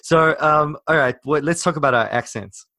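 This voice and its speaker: A man's voice: fairly high pitch, normal speed, gravelly, pushed and bright, dry recording, clean studio sound. A South African-born man with an American-sounding accent, co-hosting a casual chat and rambling with lots of fillers and teasing. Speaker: podcast co-host.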